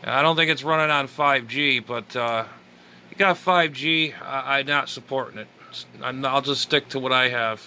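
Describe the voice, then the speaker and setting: A man giving rambling, casual reaction commentary as a vlogger, with a halting, stammering, grumbling delivery. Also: medium pitch, sharp tone, slight background noise. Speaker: amateur radio vlogger.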